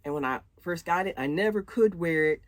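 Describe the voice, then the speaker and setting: A woman's voice: fairly low pitch, expressive, brisk, no echo. Woman, fifties, chatty vlogger, laughs a lot, dry close recording. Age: 50s. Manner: chatty and rambling, laughs often. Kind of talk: casual chat to viewers.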